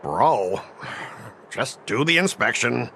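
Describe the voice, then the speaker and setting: A man's voice: medium pitch, animated, slow pace, creaky, bright, forceful tone, slight background noise, full character voice. A man voicing a self-important young corporate merchant in a video game, whiny, indignant and sarcastic. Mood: grudging.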